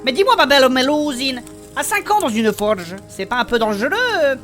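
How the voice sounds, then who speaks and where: A woman playing a cheeky, excitable member of an adventuring band in a fantasy audio drama, colloquial and exclamatory. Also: high voice, brisk, faint music behind. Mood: curious, friendly. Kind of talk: French fantasy audio fiction acting.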